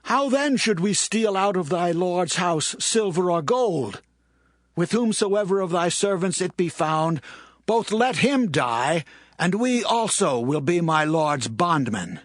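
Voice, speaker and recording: An earnest brother from a Bible drama acted by a man protests his innocence. The voice is high and declamatory, recorded very dry. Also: lively intonation, slow pace, no echo. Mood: earnest, defensive. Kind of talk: dramatized Bible audio acting.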